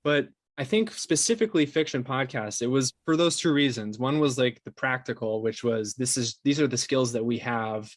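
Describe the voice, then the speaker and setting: Male interview guest, medium pitch, explains conversationally with trailing pauses and repetitions; dry sound. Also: lively intonation, dry recording. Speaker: interview guest, podcast co-founder and sound designer.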